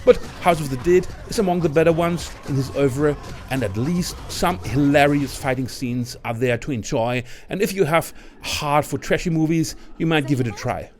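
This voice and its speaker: A man's voice: fairly high pitch, moderate pace, few pauses, a little mellow. A man hosting a countdown video, reading a scripted film review as narrator. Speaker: video list host and narrator.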